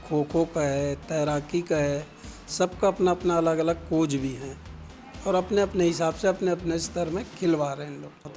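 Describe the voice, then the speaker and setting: A man's voice: fairly high pitch, a little mellow. A man speaking informally as a village representative in an interview. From village in Chhattisgarh, India.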